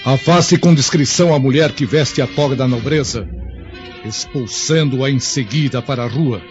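A man playing the chief of the imperial guard, giving firm, measured orders. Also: mid-range voice, natural voice. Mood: commanding. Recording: muffled audio under music.

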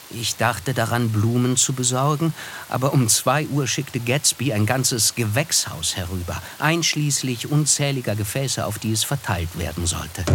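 A man's voice: mid-range voice, a bit bright, a little hiss or hum. A man reading literary, scripted narration as the narrator of a radio play. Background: music.

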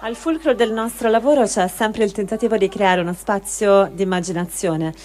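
A woman presenting a theatre project in an earnest, deliberate way, as if introducing a reading. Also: medium pitch, a little mellow, faint music behind. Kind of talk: spoken introduction to a live reading.

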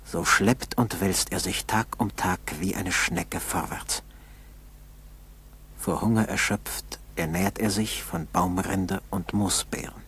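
An actor playing a solemn narrator who gives terse present-tense commentary in a 1960s German radio play. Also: male voice, deep voice, steady tone, takes it slowly, fairly bright tone, husky, full character voice. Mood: grave. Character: grave male narrator urgently observing a struggling man.

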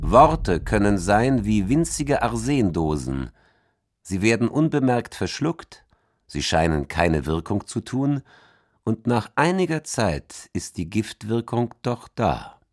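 Slow, expressive low-voiced man reciting. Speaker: stage reader. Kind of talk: measured literary recitation.

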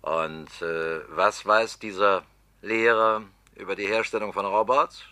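An actor playing a scheming political opponent in a radio drama, talking bluntly and sarcastically. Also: male voice, lowish voice, fairly even intonation, a little slow, pushed and bright. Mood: pressing. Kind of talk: 1960s-70s radio drama acting.

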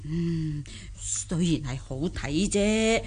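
Slow, wildly animated old country granny. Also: female voice, low voice, breathy edge, heavily acted. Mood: jolly, frank. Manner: exclamatory, folksy, wonderstruck. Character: naive, jolly old country granny, clownish and good-humoured. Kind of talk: radio drama acting.

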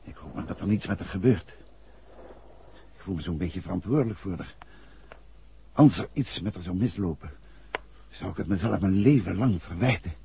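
An actor playing a composed, courteous private detective in a 1960s Dutch radio drama, with measured phrasing. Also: male voice, deep voice, expressive, vocal fry, noisy background. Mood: worried. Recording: dull, muffled vintage radio drama recording.

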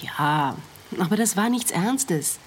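A woman's voice: on the low side, lively intonation, slow pace, slight background noise. An actress playing a guarded, hesitant young woman with something to hide in a radio play. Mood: defensive. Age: young adult. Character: guarded young woman, a runaway thief confronted by detective.

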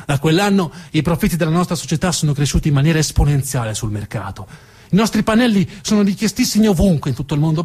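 Guy doing a proud rich heir ceo, hammers words over and over, husky voice. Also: on the high side, a little mellow. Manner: emphatic, repeating key words. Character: proud male heir, company chief executive.

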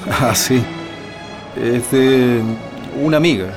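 A weary male political prisoner with a Chilean accent in a radio drama. His voice sits at a middling pitch, with music clearly behind it. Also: slow pace. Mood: reticent, reflective.

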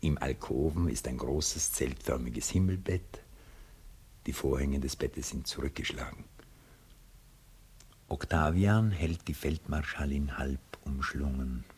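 A man narrating stage directions for a 1960s radio play in a measured, formal, precise manner. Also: rumbling low, a little mellow, breathy edge, heavily acted. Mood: descriptive. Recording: faintly hissy old radio recording.

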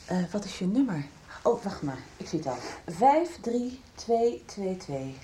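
An actress playing a calming, businesslike woman who handles an emergency in a radio drama. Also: medium pitch, measured pace, slight background noise. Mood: calm urgency. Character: brisk, calming woman arranging emergency admission by phone.

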